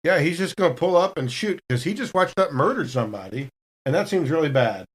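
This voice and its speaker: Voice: medium pitch, animated, fairly quick, husky. A tabletop roleplaying player casually bantering and describing what their character does.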